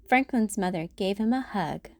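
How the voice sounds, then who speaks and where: A woman narrating a children's storybook as a read-aloud host, in a deliberate storytelling manner. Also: mid-range voice, lively intonation, a little mellow, no room sound.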